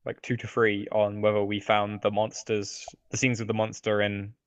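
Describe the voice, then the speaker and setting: A man's voice: lowish voice, flat delivery, normal speed, no echo. A man co-hosting a podcast, talking casually about films in a hesitant, wry conversational way on a slightly muffled microphone. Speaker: podcast co-host. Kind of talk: casual film chat. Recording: dull, slightly lo-fi microphone sound.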